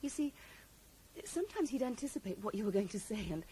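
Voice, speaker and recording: A woman's voice: mid-range voice, sing-song, brisk, dark tone, lightly acted. An actress playing a loyal, defensive young woman recovering in hospital in a 1980s radio drama, pointed and wistful in her delivery. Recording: slightly noisy old radio broadcast recording.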